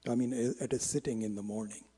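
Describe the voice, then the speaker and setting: Mellow, husky-voiced man lecturing in a hall. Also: mid-range voice, fairly even intonation. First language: Hindi. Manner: reflective and unhurried, pausing often with restarts and fillers. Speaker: Vedanta teacher. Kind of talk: informal spiritual lecture.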